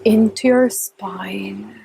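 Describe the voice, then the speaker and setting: A woman speaks as a meditation teacher, extremely slowly and gently. Her voice is very breathy and soft, with a mellow tone. She gives calm instructions in short phrases, leaving long pauses between them. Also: medium pitch, rough recording. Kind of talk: guided meditation, slow and instructional.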